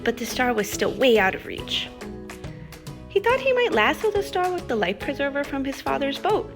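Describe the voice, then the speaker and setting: Woman presenting for kids over music. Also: on the high side. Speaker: children's STEM teacher and presenter. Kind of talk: lesson for kids and storybook read-aloud.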